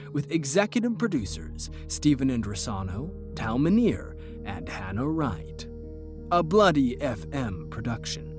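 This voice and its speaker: A man reading scripted end credits as an announcer. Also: mid-range voice. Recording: voice over clear music.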